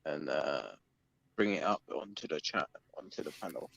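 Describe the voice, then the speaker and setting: A man's voice: extremely low pitch, normal speed, creaky, a bit bright, a little hiss or hum. A man from London with a London accent, hosting a casual livestream chat, talking in a chatty, hesitant way with many ums. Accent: London English. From London, England. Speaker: livestream host reading chat.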